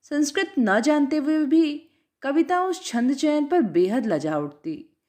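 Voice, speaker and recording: A woman reading a literary short story aloud in a measured, expressive way, her voice dropping at the end of phrases. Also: high voice.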